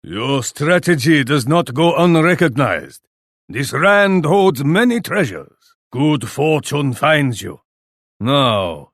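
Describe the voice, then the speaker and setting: An actor playing an arrogant, ruthless warlord in a video game, speaking in a stern, imperious and formal manner. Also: male voice, mid-range voice, a bit bright, no room sound, clean studio sound, heavily acted. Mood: coolly approving. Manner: imperious, stern, formal, refers to himself in third person. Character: arrogant, ruthless, scheming warlord who scorns his allies. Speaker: computer player in a strategy game. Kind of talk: scripted video game voice lines.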